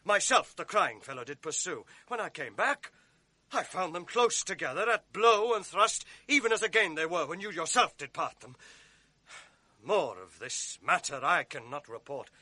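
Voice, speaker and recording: An actor playing a scheming, cunning ensign who feigns honesty in a radio drama, smooth and insinuating. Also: male voice, a little high, animated, normal speed, bright, forceful tone, no echo, heavily acted. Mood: feigning reluctance. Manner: smooth, insinuating, urgent when raising alarm. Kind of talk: 1980s radio drama acting.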